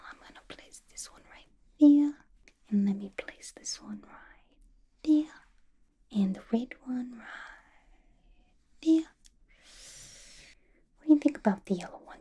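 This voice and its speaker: A woman voicing a playful, unhinged young villain inmate in a chummy, slangy ASMR roleplay, mostly in a breathy whisper. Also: mid-range voice, warm tone.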